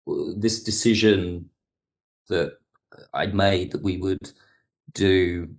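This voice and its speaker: A man speaking as a producer and guest in an interview, reflective, halting and rephrasing as he explains. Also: deep voice, steady tone. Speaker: audio drama producer and writer, podcast guest. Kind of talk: reflective interview talk about production work.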